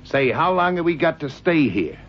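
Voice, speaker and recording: A man's voice: on the high side, very animated, normal speed, highs cut off. An actor playing a streetwise, plain-spoken boxing cornerman in an old radio crime drama. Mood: impatient.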